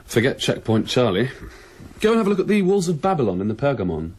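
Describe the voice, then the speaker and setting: An actor playing a dry, helpful young adult son of German hosts, brisk and casual, in a radio drama. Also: male voice, medium pitch, big pitch swings, a little mellow, husky. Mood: casual, advising.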